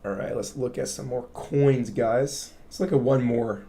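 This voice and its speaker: Raspy-voiced man hosting a crypto stream. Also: medium pitch, a little slow, dark tone. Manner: chatty, explains to viewers, asks "right?". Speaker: crypto livestream host. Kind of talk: casual livestream chart talk. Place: home studio. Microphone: studio mic.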